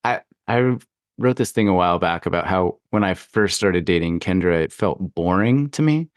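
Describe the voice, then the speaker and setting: A man's voice: low-pitched, lively intonation, normal speed, no echo, clean studio sound. A man hosting a podcast, chatting in an informal, loosely phrased conversational way. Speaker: podcast host, interviewer. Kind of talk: casual chat.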